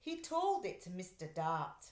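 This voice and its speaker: A woman reading a children's story aloud like a teacher, with expressive, emphatic delivery. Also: fairly low pitch, measured pace. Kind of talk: scripted storybook narration. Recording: echoey room.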